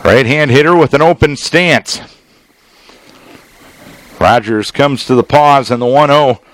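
A man doing live baseball play-by-play as a radio announcer, speaking in measured, clipped phrases with deliberate pauses. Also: medium pitch. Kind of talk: live sports play-by-play commentary.

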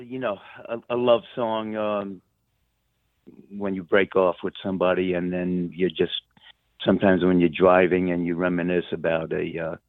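Low-voiced man on a thin phone line. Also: no echo. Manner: rambling, hesitant, informal. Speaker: musician guest. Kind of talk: casual interview chat. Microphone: remote guest line. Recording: narrow-band phone guest line.

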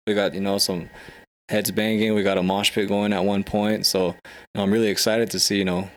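A man's voice: lowish voice, level tone, brisk. A young man in a band answering interview questions in a chatty way, often saying "you know". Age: young adult. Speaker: band member.

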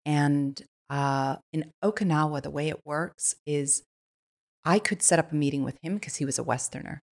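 A woman's voice: low-pitched, normal speed. A woman from Switzerland, a guest on a podcast, telling stories in an eager, casual way with many "like" fillers. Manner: enthusiastic, lots of "like" fillers. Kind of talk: casual conversational storytelling.

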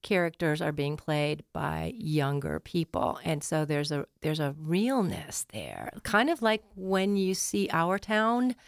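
A woman's voice: sits low, very animated, takes it slowly, vocal fry, hardly any pauses, dry recording, studio quality. A woman talking earnestly and admiringly as a theater guest in a radio interview.

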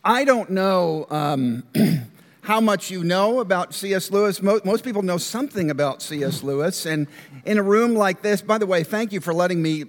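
An older man, a guest minister, giving a relaxed conversational lecture to a church class, often clearing his throat. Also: sits high, big-room sound. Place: large church room.

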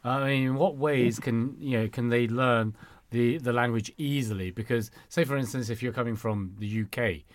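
Man, radio host, relaxed and chatty, Cantonese native, dry close studio mic. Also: medium pitch, moderate pace, few pauses, no room sound. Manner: relaxed, chatty, many fillers. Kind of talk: casual radio talk.

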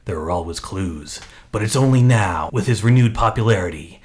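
A man's voice: lowish voice, expressive, normal speed. A man playing a brash character in a comedy radio play and reading the show credits. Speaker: podcast performer.

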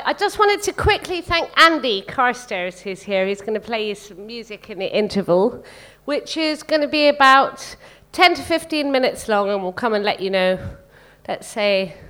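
A woman's voice: sits high, a bit bright. A woman hosting a live event, making informal announcements to the people there. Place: live literary event before an audience.

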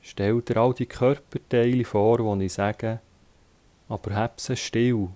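A man with a Swiss German accent leading a guided relaxation as a yoga teacher, calmly giving short instructions with long pauses. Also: medium pitch.

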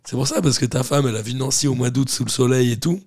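A man's voice: mid-range voice, quick pace, darkish tone. A middle-aged man with a French accent hosting a casual chat, asking questions and telling anecdotes in a teasing, informal way. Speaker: podcast host.

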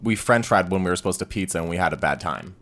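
Male panelist, low but forceful voice, very animated, jokey and self-deprecating in casual chat. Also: fast. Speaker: panel member. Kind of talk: casual joking group chat.

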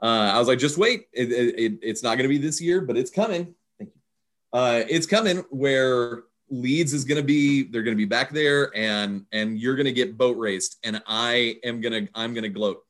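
A man's voice: medium pitch, normal speed, fairly bright tone. A man telling anecdotes in a casual chat, laughing often.

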